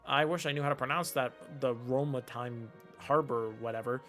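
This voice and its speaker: Game streamer, mid-pitched voice: casual, offhand asides, self-deprecating, music underneath. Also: normal speed, fairly bright tone. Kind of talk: casual live asides while reading game dialogue.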